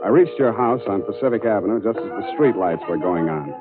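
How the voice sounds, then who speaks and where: A cool, wry private eye played by a man in a 1940s radio mystery, speaking in a dry deadpan. The recording is old and muffled, with music clearly behind him. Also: on the low side, brisk, close to an everyday voice. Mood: wry narrating. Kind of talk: 1940s radio detective acting, narrating and in dialogue.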